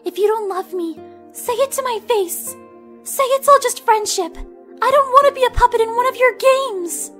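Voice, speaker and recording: A woman voicing a gentle, lovestruck female cartoon pony in an audio drama, soft and girlish, sweet and earnest. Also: very high-pitched, normal speed, faint music behind. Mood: hurt. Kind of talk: fan audio drama acting.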